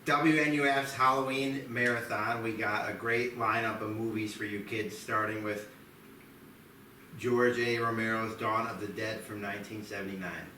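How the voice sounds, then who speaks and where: A TV host acted by a man announces a horror marathon to kids in a script read. The voice is lowish and forceful, recorded at a distance in an echoey room. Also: steady tone, normal speed. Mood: upbeat announcing. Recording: echoey room, distant mic, faint noise.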